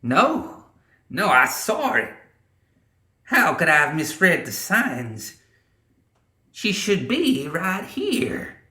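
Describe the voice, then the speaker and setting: A man voicing a stern, scheming old witch woman in a fantasy audiobook, with a portentous, drawn-out delivery. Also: a little high, wildly swooping pitch, pushed and bright, roomy sound, pitched above the performer's own voice, full character voice. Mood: baffled dismay.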